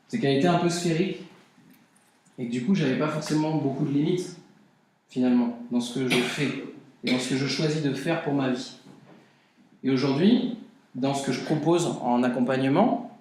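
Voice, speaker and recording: A man's voice: fairly high pitch, darkish tone. A man giving a motivational conference talk to a live audience, earnest and emphatic, repeating key phrases. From France and Brazil. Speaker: conference speaker. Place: conference hall. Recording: very echoey hall.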